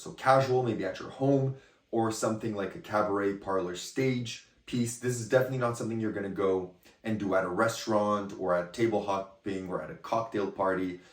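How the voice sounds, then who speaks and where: A hoarse man with a lowish voice gives a rambling, casual review of a magic trick. He sits back from the mic in an echoey room, and keeps checking in with the listener. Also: distant mic. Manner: chatty, rambling, often checks in with "okay?". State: hoarse, voice partly lost. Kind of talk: casual product review to camera.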